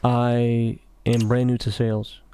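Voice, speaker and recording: A man's voice: mid-range voice, monotone, slow pace, dark tone. Young guy w/ a slight russian accent rambling over gameplay, keeps sidetracking, bit of hiss. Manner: talkative, meandering, self-interrupting. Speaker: gaming commentary creator. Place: office.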